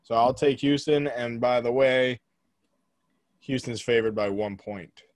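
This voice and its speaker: A man's voice: mid-range voice, unhurried, dry recording, studio quality. A young man co-hosting a casual sports podcast, blunt and joking, pushing strong opinions. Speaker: podcast co-host.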